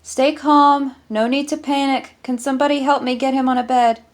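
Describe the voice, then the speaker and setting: A woman's voice: high-pitched, steady tone, moderate pace, pitched above the performer's own voice, lightly acted. A woman voicing a conscientious, anxious female doctor in an audiobook story, earnest and guarded. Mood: urgent, defensive.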